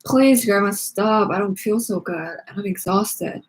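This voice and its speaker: A woman voicing a dramatic teenage heroine, moody but excitable, with expressive delivery in a children's book read-aloud. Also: medium pitch, a little slow, a little hiss or hum, lightly acted, low-quality recording. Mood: groggy, dismissive.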